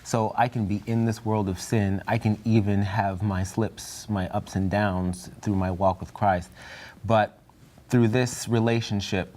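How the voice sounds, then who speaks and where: A man's voice: on the low side, steady tone, measured pace, a little mellow, a little hiss or hum. A young man in a Bible study group, talking in an earnest, reflective way and sometimes searching for words. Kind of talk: discussion group chat.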